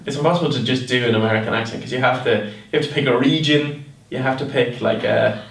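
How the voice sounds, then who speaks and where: A young man with an Irish accent, a guest chatting thoughtfully with many pauses and fillers. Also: medium pitch, vocal fry, fairly bright tone, reverberant, distant mic, a little hiss or hum, rough recording. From Ireland. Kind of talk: casual interview chat.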